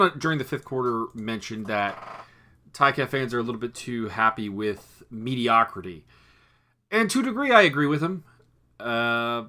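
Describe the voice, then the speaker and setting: Hoarse guy breaking down a football loss, lowish voice, punchy and tense. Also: sharp tone. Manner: analytical, rattles off stats with frequent self-corrections. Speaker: sports review host. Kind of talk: solo football game review.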